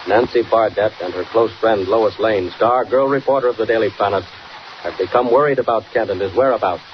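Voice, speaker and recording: An American man narrating a 1940s radio serial in an emphatic, declamatory, dramatic style. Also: lowish voice. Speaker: announcer, narrator. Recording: muffled, hissy old 1940s radio recording.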